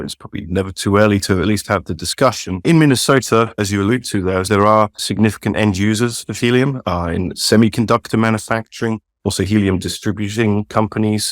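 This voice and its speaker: A man, a company chief executive, answering interview questions in a measured, explanatory way with frequent fillers, speaking from home. His English accent is not North American. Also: on the low side, dry recording, clean studio sound. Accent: non-North American English. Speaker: company CEO guest. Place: home, dog barking at times.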